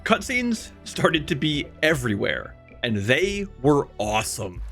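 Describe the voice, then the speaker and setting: A man in his thirties narrating a scripted video essay in an emphatic, wry way with dramatic pauses. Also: on the high side, big pitch swings. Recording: music behind the voice. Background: background music.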